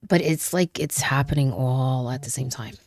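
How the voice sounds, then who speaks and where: Very deep-voiced woman, stuffy from a cold. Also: darkish tone, no room sound. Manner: chatty, laughs often, many fillers and trailing pauses. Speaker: tarot reader. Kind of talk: casual tarot reading to camera.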